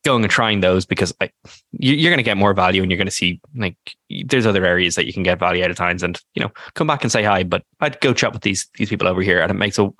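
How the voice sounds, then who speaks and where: A man hosting a podcast talks quickly and casually, restarting his sentences and filling gaps with "like." His voice sits a little low but has a bright, forceful edge. The recording is close and dry. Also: no echo. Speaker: podcast host. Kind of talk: casual interview talk.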